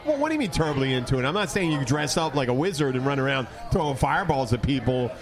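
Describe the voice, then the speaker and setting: A man's voice: medium pitch, big pitch swings, fast, a loud crowd. An American man hosting a podcast in casual, joking banter, rambling and argumentative as he teases his company. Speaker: podcast host, bartender. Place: restaurant bar.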